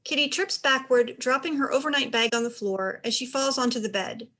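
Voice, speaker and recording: A woman narrates a play script, reading the stage directions. Her voice sits fairly high and she delivers scripted scene descriptions. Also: brisk, bright, forceful tone.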